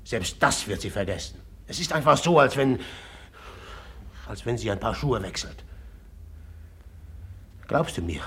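A man's voice: fairly low pitch, expressive, a little slow, pauses often, fairly bright tone, slightly rough, full character voice. An actor playing a volatile, jealous man tormented by love in a radio drama, speaking bitterly in brooding, broken phrases. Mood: jealous anguish. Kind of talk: classic German radio drama acting.

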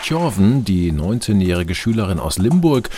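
A man's voice: lowish voice, sing-song, moderate pace, mellow, a faint crowd, slight background noise. A man reading a news report voice-over in a scripted style. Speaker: news narrator.

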